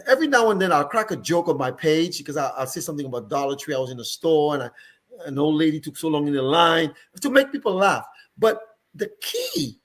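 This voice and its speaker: Dominican accent man, confident, emphatic, higher pitch, panel guest. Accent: Dominican, mixed with years abroad. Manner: confident, emphatic, joking asides. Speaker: guest, licensed professional. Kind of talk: panel discussion.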